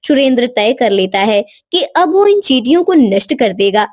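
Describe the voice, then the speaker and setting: A woman's voice: on the high side, sing-song, moderate pace, darkish tone, no room sound, clean studio sound. A woman storyteller reading scripted narration for a children's moral story.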